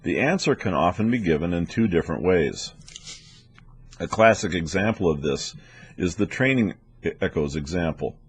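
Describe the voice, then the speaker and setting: Low, husky male instructional narrator. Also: lively intonation, moderate pace. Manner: explanatory, methodical. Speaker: weather instructor for air traffic controllers.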